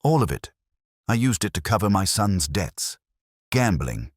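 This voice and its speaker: A man acts out a retired schoolmaster in a mystery audiobook, resigned and earnest. His baritone is low but animated, as he confesses with anxious guilt. The sound is dry and close. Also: measured pace, husky, no echo, full character voice. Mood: resigned confession.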